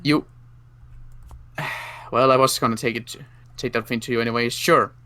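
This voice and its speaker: A man playing a wounded sniper survivor in an improvised zombie roleplay game, speaking in a halting, defensive way. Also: medium pitch, steady tone, sharp tone, clean tone, dry recording, close to an everyday voice. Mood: sore, defensive.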